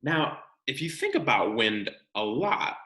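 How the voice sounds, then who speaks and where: A man reading a children's science book aloud as a teacher, expressive and explanatory, speaking directly to young students. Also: medium pitch, unhurried, echoey room.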